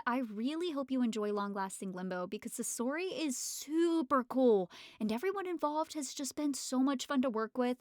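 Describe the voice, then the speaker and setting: A woman gives a casual, gushing introduction as a voice actor. Her voice is high and very animated, full of excitement, in a dry, close recording. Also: no room sound. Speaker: amateur voice actor. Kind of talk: casual self-introduction to audience.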